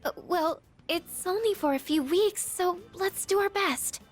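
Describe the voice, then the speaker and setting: A woman voicing a friendly, expressive high-school girl in a video game. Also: a little slow, faint music behind. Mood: frank.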